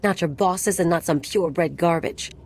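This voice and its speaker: An actress playing a hot-tempered mercenary companion in a video game, scornful and confrontational. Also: female voice, on the low side, normal speed, a little mellow, a little hiss or hum, natural voice. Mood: indignant.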